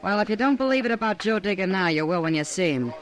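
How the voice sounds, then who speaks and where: A woman's voice: mid-range voice, expressive, fast, natural voice. An actress playing a worldly, concerned frontier saloon woman, frank and urgent, in 1950s radio western style. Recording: old radio, busy saloon crowd behind.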